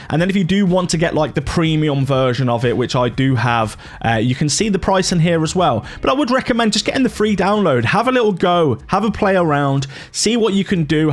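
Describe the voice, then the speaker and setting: A man in his thirties giving a casual software walkthrough as a gaming YouTuber. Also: fairly high pitch. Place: indoor home gaming setup.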